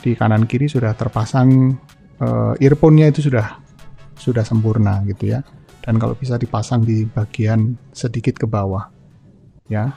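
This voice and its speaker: An older man giving a relaxed, chatty product review, full of fillers and restarts. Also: on the low side, creaky. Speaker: motorcycle gear reviewer.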